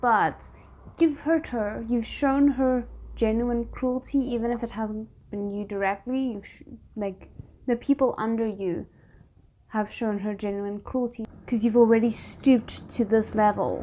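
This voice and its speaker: A woman's voice: a little high, normal speed, mellow. A woman hosting a reaction video, thinking aloud in casual commentary and often addressing the characters directly.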